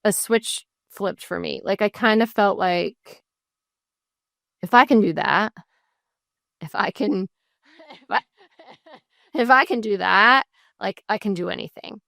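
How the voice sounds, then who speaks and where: A woman's voice: mid-range voice, takes it slowly, vocal fry, pauses often, fairly bright tone, no room sound, clean studio sound. A woman in her forties, a podcast guest, talking openly and reflectively about her work and self-worth, with frequent restarts. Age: 40s. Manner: reflective, open, many restarts and fillers. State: tearful at moments. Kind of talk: candid conversational interview.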